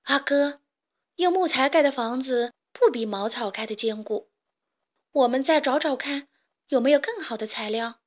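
A woman voicing the smallest, youngest of three little pigs, a sensible piglet who gives calm advice. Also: high voice, moderate pace. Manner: calm advising.

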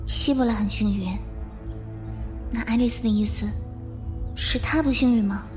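A woman's voice: a little high, moderate pace, lightly acted. A woman playing a sweet, needy young girl in an audio drama, in a cute, plaintive manner. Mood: pouty, wistful. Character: sweet, needy young girl devoted to her female companion. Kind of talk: Chinese audio drama acting. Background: music.

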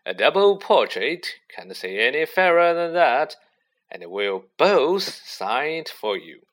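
Man playing a pompous, booming, drawn-out celebrity teacher. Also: big pitch swings, fairly bright tone, heavily acted. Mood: booming, jovial. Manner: pompous, hearty, patronising. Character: vain, jovial, booming adult male celebrity teacher.

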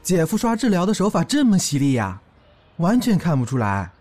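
Man playing lovesick little brother, animated delivery. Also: a little high, fairly quick, soft background music. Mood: curious. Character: lovesick, indecisive young orc rogue little brother. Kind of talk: audio drama acting.

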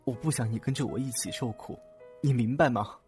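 A young man playing a caring, gently teasing but proud boyfriend in a Mandarin radio drama. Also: medium pitch, brisk, soft background music, close to an everyday voice. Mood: earnest, pained. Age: young adult.

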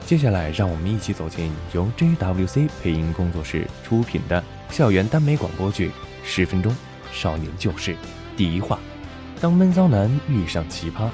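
Man, low voice, animated intonation, teasing podcast host, guitar music clearly behind. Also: normal speed. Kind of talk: presenting an audio drama programme.

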